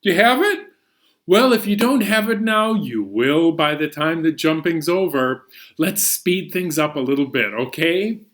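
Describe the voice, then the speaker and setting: A man's voice: a little high, unhurried, a bit bright. An American man hosting a story time for young children, playful and exclaiming as he introduces songs and stories.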